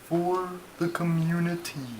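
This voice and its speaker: A man voicing a long-winded, earnest turtle puppet telling stories in a comic skit, halting and drawn-out, on a noisy home recording. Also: on the high side, level tone, darkish tone.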